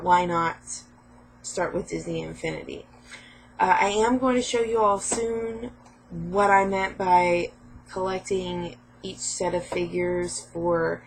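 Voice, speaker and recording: A woman vlogging casually about her game pickups, hoarse and a little sniffly from a cold, chatty and rambling with lots of fillers. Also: lowish voice, a little hiss or hum, poor audio.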